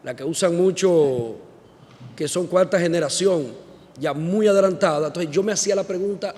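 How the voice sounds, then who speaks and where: A man's voice: high-pitched, moderate pace, darkish tone. A man from the Dominican Republic, a parliamentary delegate, putting an earnest, emphatic formal question from the floor of a conference. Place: large conference hall. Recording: echoey hall, faint noise.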